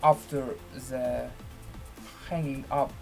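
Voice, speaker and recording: A man presenting a screen-share software tutorial in halting, deliberate phrases with formal, elaborate wording. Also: mid-range voice, little pitch movement, vocal fry, faint music behind.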